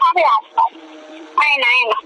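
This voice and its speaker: Bright, high-voiced Bangladeshi woman on phone. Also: measured pace. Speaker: prank call recipient. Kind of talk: casual phone chat.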